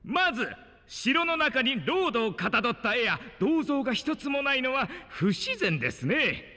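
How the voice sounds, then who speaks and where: An actor playing a chirpy, ceremonious castle official, speaking in polite, theatrical announcer patter with long reverb. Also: male voice, very high voice, big pitch swings, normal speed, sharp tone, full character voice. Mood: cheerfully ceremonious. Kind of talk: anime-style fantasy audio drama acting.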